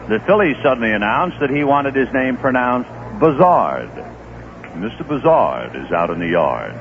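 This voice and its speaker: A man doing live play-by-play for a baseball radio broadcast, telling relaxed anecdotes between pitches, on a tinny old radio recording. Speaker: play-by-play announcer. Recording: tinny lo-fi 1970s radio broadcast with faint hiss.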